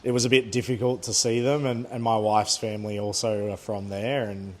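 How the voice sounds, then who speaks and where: An Australian man in his thirties, a head chef chatting easily in an interview, pausing with fillers and laughing now and then. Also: lowish voice, level tone. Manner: easygoing, laughs often, thoughtful pauses with fillers. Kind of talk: relaxed conversational interview.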